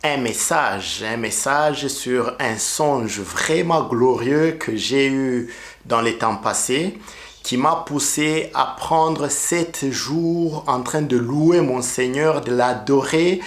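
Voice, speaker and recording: A middle-aged man from Rwanda whose first language is Kinyarwanda, preaching and praying in a slow, earnest way with many pauses and restarts. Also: mid-range voice. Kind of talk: sermon and testimony with prayer.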